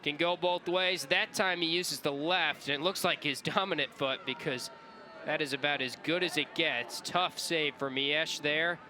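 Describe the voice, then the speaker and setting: A man's voice: on the high side, moderate pace, pushed and bright. A man giving live soccer analysis as a color commentator, conversational and analytical. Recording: clearly noisy live broadcast ambience.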